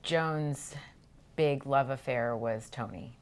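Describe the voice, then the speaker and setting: Middle-aged actress guest, very deep voice, measured and hesitant. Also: female voice, a little hiss or hum. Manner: thoughtful, hesitant with many ums. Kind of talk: casual interview chat.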